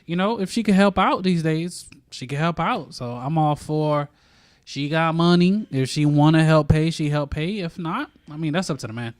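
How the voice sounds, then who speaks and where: A man on a casual panel, joking and laughing as he talks, with a voice he says is not deep. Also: normal speed, no echo, studio quality. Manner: joking, laughs while talking, old-school views. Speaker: guest panelist.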